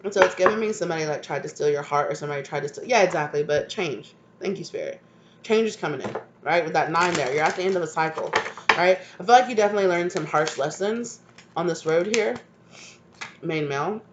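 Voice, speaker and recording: A woman's voice: deep voice, fast talker. Woman tarot reader, congested, affectionate chatty delivery, dull lo-fi recording with light hiss. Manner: affectionate, repeats "right?" and "come on now". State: stuffed up from a cold. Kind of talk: channeled tarot reading to viewers.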